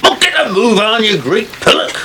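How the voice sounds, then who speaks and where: Grumbling old English farmer, high and animated. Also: male voice, moderate pace, background noise. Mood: impatient. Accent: rural English. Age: older. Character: grumbling, vengeful old farmer and father, gleefully self-righteous. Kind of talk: 1980s radio soap acting. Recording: archive radio broadcast with background chatter.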